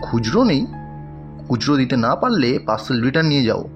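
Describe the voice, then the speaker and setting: A man's voice: medium pitch, sing-song, fast talker, muffled recording, music in the background. An actor playing a rude, sarcastic, hot-tempered young male customer in a cartoon. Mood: scornful, irritated. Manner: curt, sarcastic, scornful. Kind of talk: animated cartoon dialogue.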